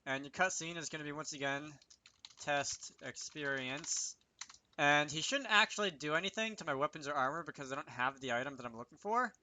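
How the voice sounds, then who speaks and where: Bright-voiced man thinking aloud while coding. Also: on the high side, lively intonation, brisk. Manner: self-talking, wry asides. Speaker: video creator demonstrating game programming.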